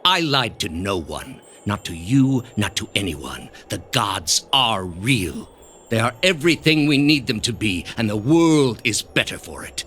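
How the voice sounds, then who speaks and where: An actor playing a cold, pompous male grandmaster of an ancient zealous order in a video game, speaking deliberately and with condescension. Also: fairly low pitch, sing-song, a bit bright, slight background noise. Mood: coldly condescending. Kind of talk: dramatic video game dialogue.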